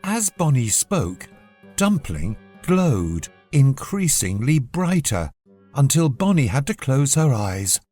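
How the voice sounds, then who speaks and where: A woman reading a children's bedtime story aloud as narrator, in an expressive, measured scripted style. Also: very deep voice, breathy edge, soft background music.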